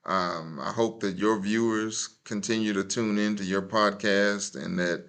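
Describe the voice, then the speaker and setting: A man in his fifties speaking as a podcast guest, with a lowish but bright, forceful voice. He sets out his views in a measured, deliberate way. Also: steady tone. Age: 50s. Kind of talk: interview answers.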